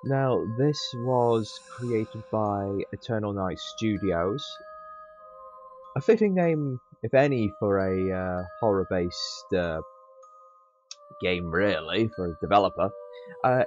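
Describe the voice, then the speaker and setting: Man, low voice, casual playthrough host, wry and conversational, faint music behind. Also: expressive, measured pace, darkish tone, muffled recording. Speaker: host of a gaming channel. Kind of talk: casual game playthrough commentary.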